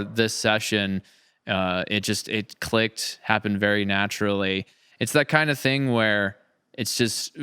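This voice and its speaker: Smooth low-voiced man chatting casually. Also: sharp tone. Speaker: podcast host, audio drama creator. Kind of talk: casual podcast conversation.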